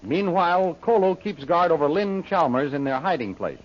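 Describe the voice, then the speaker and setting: A man's voice: fairly high pitch, expressive, normal speed, lightly acted. A man narrating an old-time radio adventure serial as announcer. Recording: muffled old radio, loud music.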